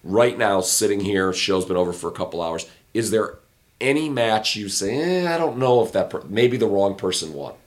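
A man co-hosting a late-night podcast in a hotel room, his voice hoarse and raspy, giving emphatic opinions in casual chat. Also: on the low side, animated, moderate pace. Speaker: podcast co-host. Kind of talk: casual chat about a wrestling show.

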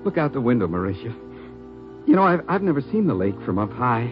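A man's voice: mid-range voice, big pitch swings, a little fast, natural voice. A man playing a dreamy, hopeful young husband, romantic and fervent, in 1950s radio drama style. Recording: tinny old radio recording, music behind.

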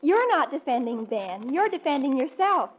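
An American actress playing a petulant, dismissive young niece with pouty exasperation, in 1940s radio sitcom style. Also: female voice, sits high, very animated, normal speed. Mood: accusing. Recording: muffled, noisy 1940s radio recording.

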